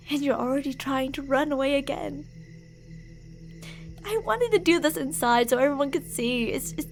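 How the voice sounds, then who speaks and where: Very high-pitched obsessive young yandere played by a woman. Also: a bit bright, exaggerated voice. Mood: quietly pleading. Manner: pleading, clingy, emotionally volatile. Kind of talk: ASMR roleplay, dramatic monologue to listener.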